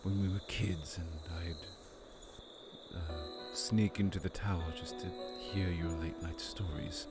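An actor playing a weary, forgiving younger brother in a fantasy audio drama, mildly stubborn and given to sighing. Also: male voice, deep voice, fairly even intonation, measured pace, over loud music. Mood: sheepish, drowsy. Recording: noisy track with cricket ambience.

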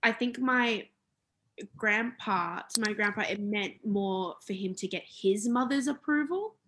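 A woman's voice: medium pitch, unhurried, fairly bright tone, slight background noise. A young woman talking casually about her family's history, hesitant with many fillers and restarts. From Australia. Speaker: interviewee.

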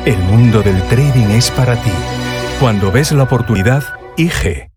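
A man's voice: medium pitch, moderate pace. A man reading a dramatic scripted advertisement as a voice-over. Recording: loud music bed under voice.

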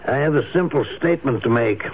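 Guy acting stiff formal man, deliberate wording, 70s radio drama, tinny with some hiss. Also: medium pitch, animated, close to an everyday voice. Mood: measured, pointed. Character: deliberate, formal Mister Fenris making an announcement. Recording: tinny, hissy old radio recording.

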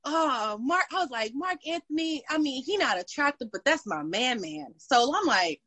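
A woman fitness instructor telling a story in casual chat, animated and laughing often. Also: sits high, moderate pace, no echo.

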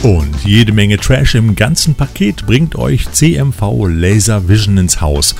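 A man's voice: lowish voice, big pitch swings, unhurried, creaky, loud music behind the voice. A man reading playful, scripted film release news as the host of a weekly show. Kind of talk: scripted upbeat review narration.

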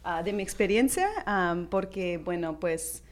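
A woman's voice: on the low side, measured pace. A young woman from Chicago with a Mexican-American accent, speaking as a radio interview guest in a hesitant manner with many fillers and English words mixed in. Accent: Chicago Mexican-American Spanish. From Chicago, USA. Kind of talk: conversational interview.

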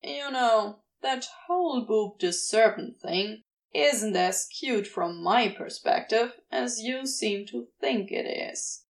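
A woman's voice: a little high, slow pace, creaky. A woman voicing a sardonic, grumbling male demon in an audio story, blunt and dry but devoted underneath. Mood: grumbling, vulnerable. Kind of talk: fan fiction audio reading, acted dialogue.

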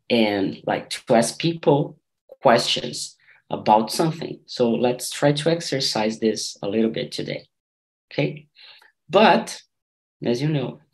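Language teacher, encouraging, short follow-up questions, mid pitch. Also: poor audio. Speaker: English teacher. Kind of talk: classroom instruction.

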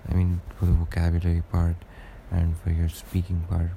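Deep, gravelly-voiced young man. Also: monotone, moderate pace, mellow, slight background noise, rough recording. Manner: rambling and hesitant, many fillers and restarts. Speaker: podcast host. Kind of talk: casual solo podcast monologue.

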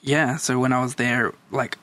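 A man's voice: mid-range voice, little pitch movement, normal speed, sharp tone, no echo. A man in his thirties, born in El Salvador, chatting casually and telling stories as a guest. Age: 30s. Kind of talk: casual chat.

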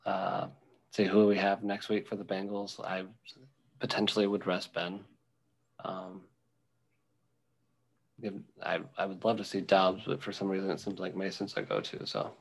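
A young man co-hosting a podcast, chatting casually about football in a rambling, halting way with many ums and restarts. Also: deep voice, gravelly, rough recording. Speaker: podcast co-host. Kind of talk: casual sports chat.

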